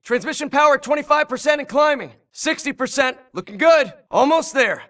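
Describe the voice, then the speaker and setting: A man's voice: very high voice, lively intonation, normal speed, fairly bright tone, distant mic. A man playing an earnest rank-and-file soldier in a video game, plain-spoken and military. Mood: excited.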